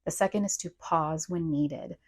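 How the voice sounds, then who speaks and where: A woman's voice: deep voice, normal speed, darkish tone. A woman giving informal instructional tips in a conversational, explanatory way as a presenter. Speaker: presenter of language tips for parents.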